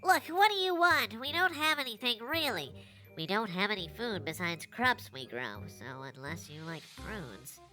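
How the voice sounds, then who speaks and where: A woman's voice: medium pitch, big pitch swings, normal speed, sharp tone, faint music behind. A woman voicing a small male goblin watchman in an audio roleplay, proud, fretful and given to formal proclamations. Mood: nervous, wary.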